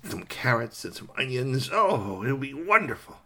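A sly, gloating fox villain from a folktale, acted out by a male storyteller. The voice is husky and swoops widely in pitch, lingering on long, hungry hums as he muses. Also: big pitch swings, bright, forceful tone, full character voice. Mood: hungry, savoring. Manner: drawn-out musing, savoring hums. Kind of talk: animated oral folktale storytelling.

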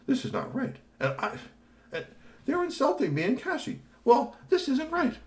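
A man's voice: very high-pitched, huge pitch swings, moderate pace, voice pushed up, exaggerated voice. A man voicing a flustered, put-upon male dock worker who whines and stammers. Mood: upset. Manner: whiny, stammering.

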